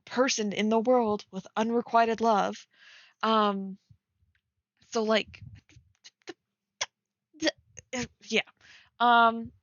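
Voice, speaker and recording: Higher-pitched young woman, rambling and tentative. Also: steady tone, drawn-out words, long pauses, no echo. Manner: rambling, tentative, heavy use of "like". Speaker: co-host reading and critiquing fan fiction. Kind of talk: casual chat with read-aloud passages. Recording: dry, slightly dull lo-fi mic.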